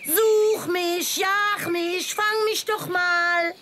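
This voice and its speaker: A woman voicing a small, playful, coaxing piglet with a squeaky voice in a children's audio play. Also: fairly even intonation, very slow, very noisy, full character voice.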